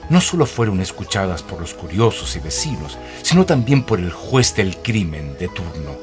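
A man's voice: deep voice, big pitch swings, normal speed, music in the background. A Chilean man narrating a wry radio play in a dramatic storytelling style.

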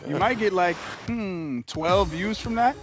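A young American basketball prodigy in a video game. His voice sits a little high, easygoing and confident, with playful casual phrasing. Music is clearly heard behind him. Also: lively intonation, measured pace. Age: young adult. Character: cocky, easygoing teenage star basketball player. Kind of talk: naturalistic game cutscene acting.

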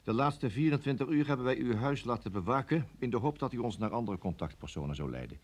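An actor playing a cool, authoritative security service interrogator in a radio play, formal, measured and curtly polite. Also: male voice, medium pitch, animated, darkish tone, natural voice. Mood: measured, accusing. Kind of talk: Dutch radio play acting.

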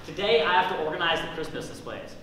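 A man's voice: fairly high pitch, lively intonation, quick pace, crowd noise, natural voice. A young man playing a jaded, dismissive supermarket assistant manager in an amateur stage comedy. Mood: bossy. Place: theatre stage, distant camera. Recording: echoey stage from far off, faint noise.

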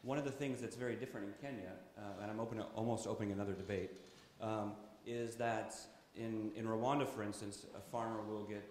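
A man with a lowish voice talks through a panel discussion, steady and a little halting with fillers. The sound carries the echo of a large room, with faint ambience underneath. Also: a little hiss or hum. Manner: measured, frequent fillers and restarts. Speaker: coffee trader on a panel. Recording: echoey conference hall.

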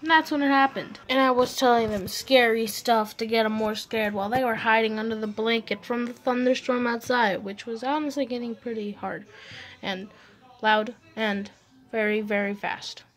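A woman's voice: fairly high pitch, steady tone, normal speed, rough recording. A teenage girl hosting a casual vlog, telling car-trip stories over her drawings in a rambling, self-mocking way, recorded on a handheld phone. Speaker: vlog host.

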